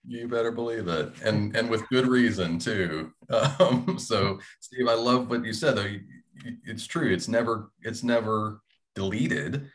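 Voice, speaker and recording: A man's voice: on the low side, a little slow. A man in his thirties, a writer, talking casually as a panelist on a video call. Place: small indoor room.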